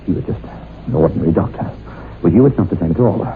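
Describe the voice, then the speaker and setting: A troubled, weary husband, deep and hesitant. He sounds subdued and nervous in a radio play, on a tinny recording with faint hiss. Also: lively intonation, fast. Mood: reluctant. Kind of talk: radio drama acting. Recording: tinny, hissy old radio drama recording.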